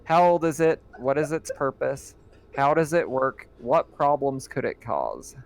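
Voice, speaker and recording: A man's voice: medium pitch, lively intonation, measured pace, creaky. A man narrating as game master for a tabletop roleplaying game, describing scenes with many fillers and reading out rules.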